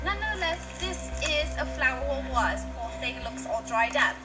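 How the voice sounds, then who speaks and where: A woman co-presents in a playful, casual style. Her voice is high and swings widely in pitch. Very loud music plays along with her. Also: animated, normal speed. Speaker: co-presenter of a student educational newsreel.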